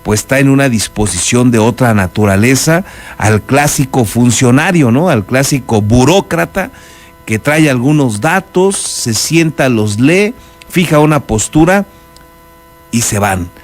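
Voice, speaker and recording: A man's voice: mid-range voice, expressive, a little slow. A man with a Mexican accent anchoring a news programme, mixing reports with spoken commentary. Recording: music bed behind the voice. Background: background music.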